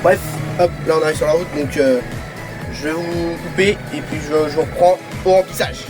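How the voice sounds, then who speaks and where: A man's voice: fairly high pitch, fairly even intonation, moderate pace, over music. A young man with a cold and a stuffy nose, chatting casually and hesitantly as he films his farm work from a tractor. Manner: chatty, lots of hesitations and repeats. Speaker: farm vlogger. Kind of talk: casual running commentary while working. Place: tractor cab and farmyard outdoors.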